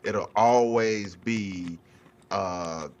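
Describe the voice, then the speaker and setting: A man's voice: on the low side, very slow, a little mellow, muffled recording, slight background noise. A man talking sports casually on a panel, blunt and informal, often circling back to restate his point. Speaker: sports talk show guest or panelist. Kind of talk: casual unscripted sports debate.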